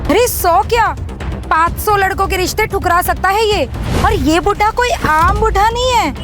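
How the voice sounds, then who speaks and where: A woman playing a gossiping onlooker in an audio drama, excited and emphatic. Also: very high voice, normal speed, over music. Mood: gossipy, awed. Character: gossiping onlooker awed by a rich old man. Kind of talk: Hindi audio drama acting.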